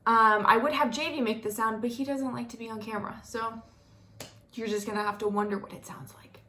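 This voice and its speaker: A young American woman talks casually to the camera in a bright, forceful voice. Her pitch sits a little high, and she keeps the talk loose and chatty, full of fillers and asides. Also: steady tone, fairly quick, slight background noise. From Florida, United States. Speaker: vlogger.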